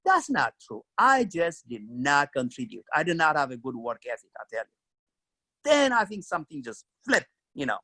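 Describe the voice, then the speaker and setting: A man's voice: fairly high pitch, bright, forceful tone, no echo. A middle-aged man with an Ethiopian accent, earnestly telling stories about his career in an interview, peppered with "you know.". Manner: earnest, storytelling, frequent "you know" and "kinda". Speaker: career mentor guest.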